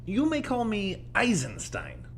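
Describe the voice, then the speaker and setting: A man's voice: high-pitched, huge pitch swings, measured pace, slight background noise, full character voice. A man playing a genial little old man who loves the paranormal, speaking in an erudite, musing, theatrical way in an audio drama. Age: older.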